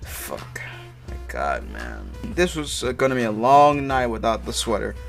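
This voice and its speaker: A man with a medium-pitched voice reads fiction aloud in a loose, casual way, with music behind him. He stumbles over the text and drops in mocking, sweary commentary. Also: wildly swooping pitch, measured pace, creaky. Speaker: amateur fan fiction reader. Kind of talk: casual read-aloud of a story with asides. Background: background music.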